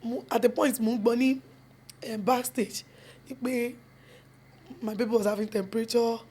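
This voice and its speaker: A woman's voice: a little high, fairly even intonation, moderate pace, warm tone, breathy edge. A young Nigerian woman with a Yoruba accent, a film producer and actress, giving earnest interview answers. She mixes English and Yoruba and repeats phrases for emphasis. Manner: switches between English and Yoruba mid-sentence.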